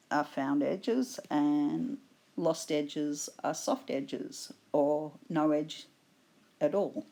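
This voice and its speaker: Slow, husky woman with a cold. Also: sits low, a little mellow. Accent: Australian. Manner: slow, patient explaining with fillers. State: hoarse from a cold. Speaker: art instructor. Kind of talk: painting tutorial voice-over.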